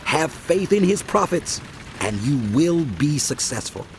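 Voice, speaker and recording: An impassioned, gravelly, pleading king. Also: a little high, sing-song, unhurried, a little hiss or hum. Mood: rousing, fervent. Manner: impassioned, declamatory, imploring. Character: pleading devout king of Judah, fervent, anguished. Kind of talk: dramatized audio Bible acting. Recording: music behind the voice.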